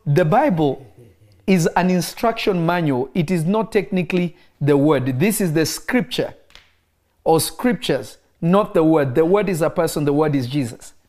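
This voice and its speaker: A man who grew up in Kenya, preaching in a relaxed interview and driving his points home by repeating key phrases. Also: a little high, normal speed. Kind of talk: conversational preaching.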